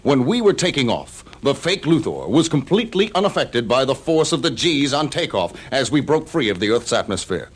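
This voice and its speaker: An actor playing a confident, righteous male superhero in an old audio drama, declaiming with dramatic pauses. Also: medium pitch, big pitch swings, slightly rough. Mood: confident, explaining.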